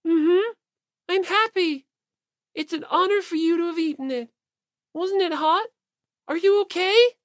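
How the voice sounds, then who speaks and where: A woman's voice: very high-pitched, measured pace, long pauses, no room sound, clean studio sound, pitched above the performer's own voice. A woman voicing a sweet, earnest, delicate teenage boy in an audiobook. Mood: eager, happy. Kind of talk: audiobook character acting.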